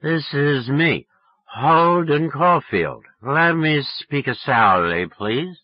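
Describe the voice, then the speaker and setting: Drunken teen boy, audiobook character, high swooping yells, slurred, insistent, maudlin, dull lo-fi sound. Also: animated, slow, dry recording. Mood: drunken, demanding. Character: drunk, loud, pleading teenage boy on a late phone call.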